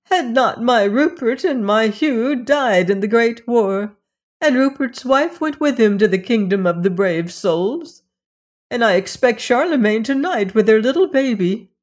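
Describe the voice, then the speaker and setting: A woman's voice: fairly high pitch, animated, unhurried, pitched above the performer's own voice. A woman playing a grieving old mother. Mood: sorrowful. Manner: sorrowful, tender. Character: grieving elderly mother, gentle and humble.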